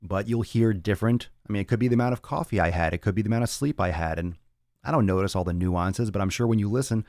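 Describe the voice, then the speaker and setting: Man, deep low voice, rambling casual podcast talk, profane, dry studio sound. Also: quick pace, darkish tone, no room sound. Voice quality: raspy on some days. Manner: blunt and profane, rambling with restarts. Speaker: fitness podcast host. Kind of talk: casual solo talk answering listener chat.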